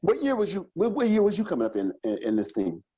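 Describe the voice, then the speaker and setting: A man's voice: high-pitched, wildly swooping pitch, quick pace, dark tone, slightly rough, no room sound, dull audio. A man hosting a podcast interview, speaking to the listening audience and steering the questions. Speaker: host.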